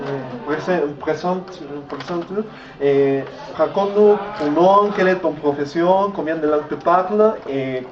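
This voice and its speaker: A young man whose first language is Spanish, interviewing a guest in French and often stopping to restart his words. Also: a little high. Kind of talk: casual interview in a learned language. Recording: dull distant echoey recording with crowd noise.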